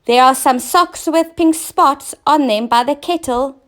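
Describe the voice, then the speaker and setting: A woman's voice: high voice, measured pace, voice pushed up. A woman voicing a quarrelsome, bossy queen in a children's storybook, scolding and demanding. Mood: brisk shouting. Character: quarrelsome, bossy queen, brusque but helpful. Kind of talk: children's storybook character reading.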